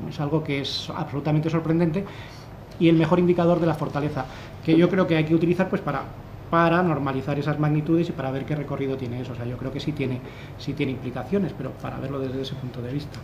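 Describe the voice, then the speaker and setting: A man's voice: fairly high pitch, fairly even intonation, quick pace, lo-fi sound, slight background noise. A man in his thirties speaking on a panel in a conference room, explaining his analysis with many restarts. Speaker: fund manager on a panel. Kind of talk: panel discussion. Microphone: desk microphone.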